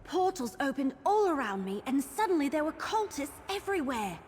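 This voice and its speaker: A woman voicing a brash, cheerful young wood elf adventurer in a video game, brisk and informal. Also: sits high, big pitch swings, a little mellow, slight background noise. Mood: brisk, concerned. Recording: long reverb, music behind.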